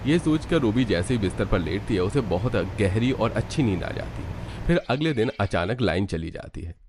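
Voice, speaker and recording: A low-pitched male narrator reads a scripted cartoon tale. He delivers it as a storyteller between the characters' lines. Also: expressive, normal speed, noisy background.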